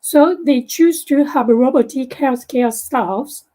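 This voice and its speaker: Guest presenter: woman, Japanese accent, native Japanese speaker, slow and halting, soft dark voice, video call. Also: high voice. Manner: halting, many fillers and restarts.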